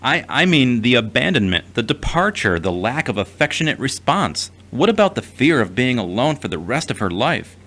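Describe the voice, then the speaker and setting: A man acting in an audio drama as a gentle, earnest, emotionally fragile young man, speaking in a measured, reassuring way. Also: mid-range voice, big pitch swings. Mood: concerned.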